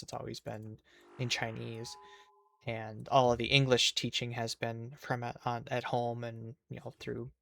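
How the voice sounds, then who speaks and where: Gravelly Minnesotan man, chatty. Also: medium pitch, fairly bright tone, no echo. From Minnesota, USA. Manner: chatty, restarts mid-sentence. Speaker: streamer. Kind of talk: casual chat with viewers while gaming.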